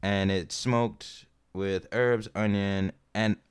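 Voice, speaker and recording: Deep-voiced American man, smooth and halting. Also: fairly even intonation. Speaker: podcast co-host. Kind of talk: casual comedic chat, reading out information.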